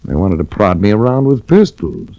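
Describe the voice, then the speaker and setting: Hard-boiled sleuth: low-ish, sardonic, laconic; vintage radio drama. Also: male voice, sing-song, normal speed, creaky, mellow, no echo, muffled recording. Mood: wry. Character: wry hard-boiled male insurance investigator. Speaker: detective narrator. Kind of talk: 1940s radio drama acting. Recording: restored old radio broadcast.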